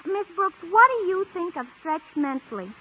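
A woman's voice: very high-pitched, sing-song, moderate pace, narrow, tinny audio. An actress playing a naive, ditzy teenage girl with affectedly proper speech in a 1950 radio sitcom, on a thin old recording. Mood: earnest, worried. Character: ditzy, earnest teenage girl using mangled big words.

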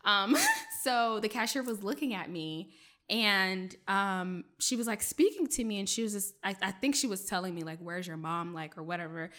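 A young woman from Maryland whose first language was French, a journalist telling stories from her childhood in a relaxed, chatty interview, full of fillers and laughs. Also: mid-range voice, normal speed. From Maryland, USA. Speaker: journalist, guest. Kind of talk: casual interview storytelling.

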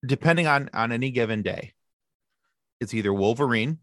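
A man in his thirties chatting casually as a podcast guest, sarcastic and animated, often restarting his sentences. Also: medium pitch, normal speed, no echo, clean studio sound. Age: 30s. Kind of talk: casual chat.

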